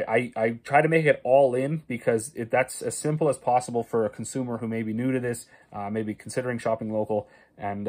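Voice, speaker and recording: A man's voice: medium pitch, darkish tone. A man in his thirties from Canada, a farmer talking casually into a phone camera in a small wood-paneled room, with plenty of fillers and restarts as he walks through prices. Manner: conversational, many fillers and restarts, stresses prices. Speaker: farmer and video host. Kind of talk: casual talking-head explainer. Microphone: phone camera at arm's length.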